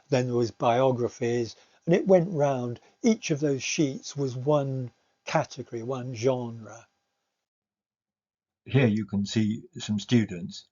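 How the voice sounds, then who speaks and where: Older man, mellow voice, teacher's informal presentation, hesitant delivery, dull muffled home recording. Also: lowish voice, slightly rough. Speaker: English teacher giving a recorded talk.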